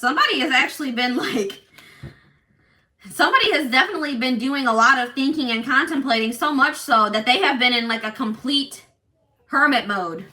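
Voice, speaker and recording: A woman doing a casual tarot reading for her viewers, talking in an assertive, loud way with lots of restarts and "like". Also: high voice, a little fast. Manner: animated, many restarts and fillers. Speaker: tarot reader.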